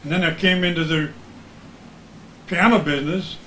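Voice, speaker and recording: A man's voice: on the high side, steady tone, normal speed, fairly bright tone. An older American man, a former radio announcer, reminiscing in casual chat as a guest. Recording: echoey room with background noise.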